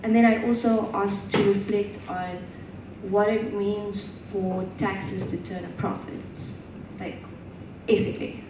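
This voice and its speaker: A woman student lays out a detailed question step by step from the floor of a conference. Her voice sounds distant from the microphone. It rings through a big echoing hall over steady room noise. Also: medium pitch, a little slow. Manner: careful, detailed multi-part questioning. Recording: echoey hall, distant mic, noisy.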